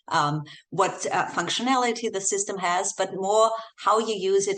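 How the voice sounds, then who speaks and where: A woman's voice: lowish voice, a bit bright. A woman from Austria with an Austrian accent, a guest researcher explaining a report in a podcast interview with frequent ums and uhs. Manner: explanatory, with fillers like um and uh. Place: home office in the Bay Area.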